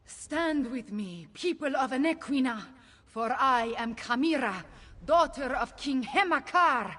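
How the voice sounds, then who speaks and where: An actress playing a proud young cat-folk heir to the throne, solemn and deliberate, in a fantasy video game. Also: female voice, high-pitched, animated, a bit bright, in a large hall, exaggerated voice. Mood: defiant, rallying. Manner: solemn, deliberate, regal declarations.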